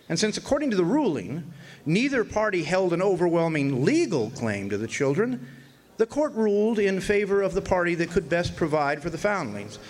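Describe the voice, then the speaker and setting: A man's voice: fairly high pitch, close to an everyday voice. A man playing a wry, folksy narrator who recalls an old frontier court case in a radio drama, with hesitant storytelling.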